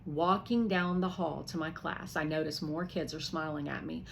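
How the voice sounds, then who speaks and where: A woman reading a children's novel aloud with lively expression, pausing to explain words to young listeners. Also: sits low, gravelly, a little hiss or hum. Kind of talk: expressive read-aloud for kids.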